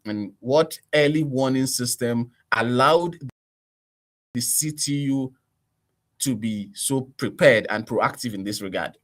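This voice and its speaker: A man with a Nigerian accent hosting a news interview on the radio, putting questions to a guest. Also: mid-range voice.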